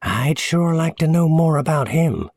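A man voicing a nosy, suspicious small-town woman in a hushed, gossipy, folksy way for a story. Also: on the high side, lively intonation, measured pace, no echo, studio quality, voice pushed up. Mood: suspicious, prying.

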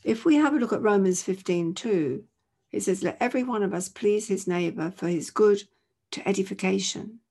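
Croaky-voiced woman preaching. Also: medium pitch, normal speed, a little mellow. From England. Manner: earnest, conversational, many "you know" asides. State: croaky voice with a chesty cough. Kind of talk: informal sermon to camera. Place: indoors at home.

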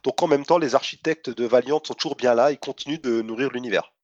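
A man's voice: medium pitch, fast, darkish tone, no echo, lo-fi sound. A man with a stuffy nose from a cold, chatting casually about comics news and hesitating often with fillers. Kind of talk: casual podcast chat.

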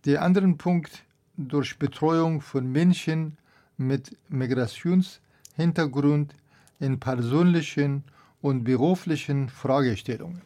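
A man's voice: fairly high pitch, takes it slowly, dark tone. A middle-aged man with a Persian accent, a doctor answering radio interview questions hesitantly, searching for his words. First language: Persian (Dari).

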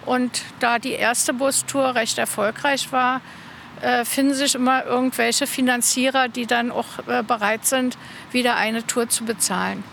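A woman with a high voice answers interview questions as an organiser. She pauses often to find her words, with faint street and vehicle noise behind her. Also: fairly even intonation, gravelly, fairly bright tone. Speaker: interviewee, bus tour organiser.

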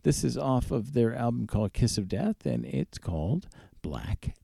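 A man's voice: mid-range voice, sing-song, unhurried, creaky, dark tone, breathy edge, dry recording. A man hosting a radio music show, talking casually between songs.

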